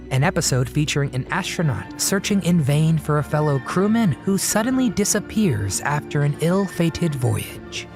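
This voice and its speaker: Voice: mid-range voice, a little mellow. A narrator reading a scripted documentary-style video in a presentational way. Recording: music bed behind the voice. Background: music.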